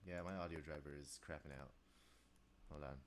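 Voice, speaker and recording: A young man with a very low voice, casually talking to himself while composing on a live stream, rambling with restarts and asides. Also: steady tone, brisk, slight background noise. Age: young adult. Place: small room indoors. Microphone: desk microphone, wearing headphones.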